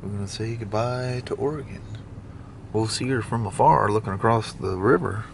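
A man's voice: fairly low pitch, moderate pace, gravelly. A man from the US East and Gulf Coasts, chatting in a folksy, laid-back way as he narrates a road trip vlog on a handheld camera. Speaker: vlogger. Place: outdoors on a windy beach, later in a moving car. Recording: loud wind and vehicle noise.

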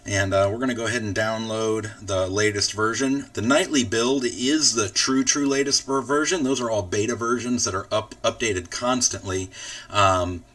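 A man hosting a casual software walkthrough, explaining things informally step by step. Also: fairly low pitch, steady tone, moderate pace, dry recording, far from the mic. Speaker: tutorial host.

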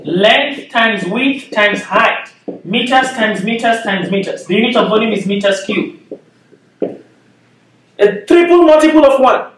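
A man's voice: high voice, animated, a little slow, fairly bright tone, a little hiss or hum. A man in his thirties with a Nigerian accent, giving a physics lecture at a whiteboard and explaining step by step, often checking in with "okay?". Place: classroom with whiteboard, indoors. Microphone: far camera. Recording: echoey room, voice from a distance.

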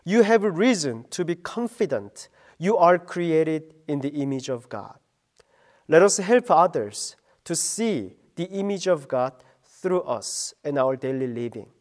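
A middle-aged man delivers a short radio devotion in the patient, earnest manner of a pastor. His voice sits fairly high for a man. He speaks slowly and carefully, like a sermon. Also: sing-song.

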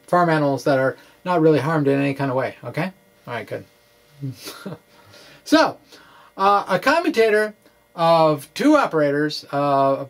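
Higher-pitched man explaining physics haltingly. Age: middle-aged. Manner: halting, wry and quirky, with playful asides. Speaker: physics blogger and presenter. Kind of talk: informal explanatory physics monologue to camera. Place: small indoor room with bookshelves. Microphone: microphone on a stand in front of him.

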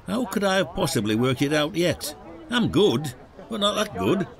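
A man's voice: a little high, big pitch swings, moderate pace, vocal fry, crowd noise. An actor playing a reluctant, wry retired village detective, an older man with an understated manner, in a podcast drama. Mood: dry. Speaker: lead actor.

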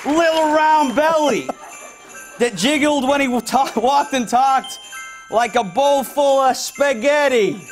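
A man putting on a Brooklyn accent as a brash, excitable guy loudly telling a Christmas story. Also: very high voice, slow pace. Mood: excited. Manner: loud, brash. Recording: studio audience and music behind.

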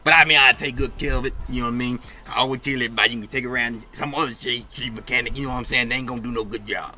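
A man's voice: medium pitch, steady tone, very fast, sharp tone. A man with a Memphis Southern accent playing a sleazy, flirtatious backyard mechanic in an improvised outdoor comedy skit, talking in a slick, rambling drawl. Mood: salesy. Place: outdoors in a suburban driveway.

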